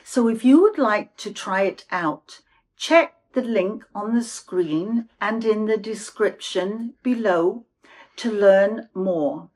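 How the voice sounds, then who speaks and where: Female host for informational video: mid-pitched, slow, measured and explanatory. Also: darkish tone. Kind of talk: informational talk to camera.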